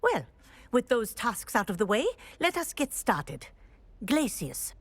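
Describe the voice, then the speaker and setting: A brisk flying professor with a husky edge and a lively, rising and falling delivery. Her voice is dry and close, with no room sound. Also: fairly high pitch, no echo, exaggerated voice. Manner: brisk, instructive. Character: brisk female flying teacher, encouraging.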